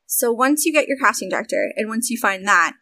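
A young American woman in a casual interview, talking animatedly about voice acting and game development, with frequent laughs and quick asides. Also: a little high, lively intonation, pushed and bright, dry recording.

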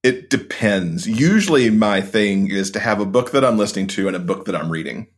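Deep, husky-voiced man guest. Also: animated, fairly quick. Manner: enthusiastic, earnest, warm about books. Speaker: podcast co-host, guest. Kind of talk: casual interview chat.

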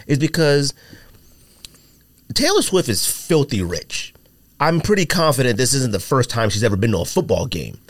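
Lively talkative man on a podcast. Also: mid-range voice, no echo. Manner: animated, drawn-out storytelling with many fillers. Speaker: podcast host. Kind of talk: casual podcast chat.

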